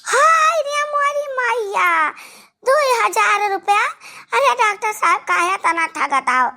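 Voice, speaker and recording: A cartoon village woman, extremely high and piercingly bright. She wails and scolds in an Awadhi accent, loud and melodramatic, with a creaky edge. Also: expressive, takes it slowly, heavily acted. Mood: indignant. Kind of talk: exaggerated cartoon comedy dialogue.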